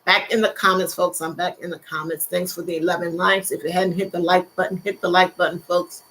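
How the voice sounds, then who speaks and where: Older female host, gravelly voice, chatty and quick to laugh. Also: on the low side, flat delivery, fairly bright tone, a little hiss or hum, rough recording. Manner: chatty, laughs often, repeats phrases. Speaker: livestream host. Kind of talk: casual live chat reading viewer comments.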